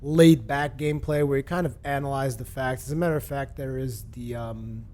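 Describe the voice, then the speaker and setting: A man casually reviewing a video game for his channel, relaxed and rambling, with lots of fillers and restarts. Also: medium pitch, moderate pace, a little hiss or hum. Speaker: gaming video host.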